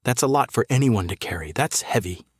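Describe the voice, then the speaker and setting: An actor playing a middle-aged sheriff in a noir audio drama, a patient interrogator who is measured, terse and probing. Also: male voice, medium pitch, expressive, breathy edge, no room sound, studio quality. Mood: quietly menacing. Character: male small-town sheriff, calm, patient, steely interrogator.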